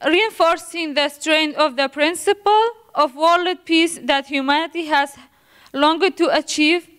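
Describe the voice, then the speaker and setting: A young woman from Iraq with a high-pitched voice delivers a student presentation. She sounds nervous and reads carefully in a formal academic style. Her delivery is halting, and she often restarts words she has stumbled on. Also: fairly bright tone. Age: young adult.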